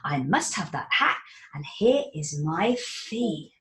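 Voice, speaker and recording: A woman's voice: big pitch swings, takes it slowly, echoey room, full character voice. A woman voicing an eager, timid old granny in a storybook reading, exclaiming in a raised voice. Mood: excited. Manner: exclaiming, high and loud.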